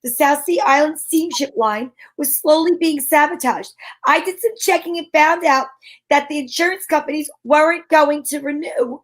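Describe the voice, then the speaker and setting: Woman acting as female private eye, high voice, breezy, slangy, wisecracking, old-time radio drama. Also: moderate pace, close to an everyday voice, rough recording. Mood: wry, explaining. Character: wisecracking, sharp-witted female private detective.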